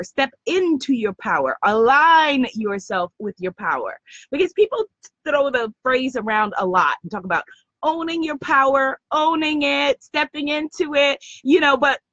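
Woman host, slightly hoarse, greets viewers effusively; high, bright tone, dry and dull-sounding mic. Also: no room sound. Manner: effusive, shouting out viewers by name. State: slightly lost voice. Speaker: host of a live spiritual business stream. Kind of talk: live-stream chat greeting viewers.

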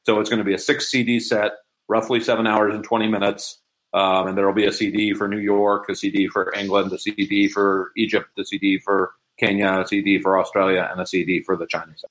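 Low-pitched man explaining in casual interview. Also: little pitch movement, fairly quick, a bit bright, low-quality recording. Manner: explanatory, conversational. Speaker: interview guest, audio drama producer.

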